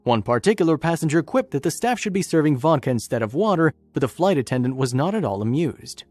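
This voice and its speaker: A man's voice: a little high, very animated, fast, a little mellow, no echo. A man reading scripted, documentary-style story narration as a narrator. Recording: music bed under narration. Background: background music.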